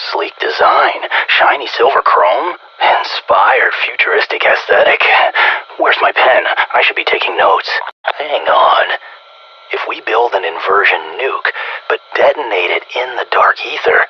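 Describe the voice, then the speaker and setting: A smug, treacherous male scientist from a video game, speaks over a dull radio channel. His American voice is deep and heavily rasped. He sounds sardonic and condescending, pleased with his own cleverness. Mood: smug admiration. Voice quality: raspy, gravelly. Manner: condescending, sarcastic, self-congratulatory. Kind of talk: video game character voice lines. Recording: dull, band-limited radio-comms sound.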